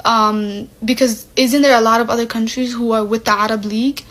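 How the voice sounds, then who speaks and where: A woman's voice: on the high side, fairly even intonation, fairly quick, fairly bright tone. A young woman of Somali heritage talking in a casual vlog monologue, chatty and full of "like" and "um", acting out conversations she has had. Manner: chatty, many "like" and "um" fillers, acts out quoted exchanges.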